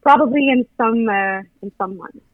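A woman's voice: on the high side, normal speed, no room sound. French-accented woman on a tinny phone line. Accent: Quebec French. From Quebec. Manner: hesitant, many fillers and restarts. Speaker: guest musician. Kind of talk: casual interview over the phone.

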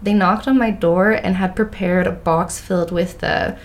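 A woman's voice: lowish voice, moderate pace. A woman hosting a casual interview with an English Canadian accent, laughing often as she tells stories. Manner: laughs often, animated storytelling. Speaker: host.

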